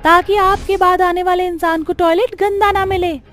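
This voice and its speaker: A woman voicing an earnest, indignant young witch ghost in a horror cartoon, shrill and dramatic. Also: little pitch movement, moderate pace, a bit bright. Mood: resolute. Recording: music behind the voice.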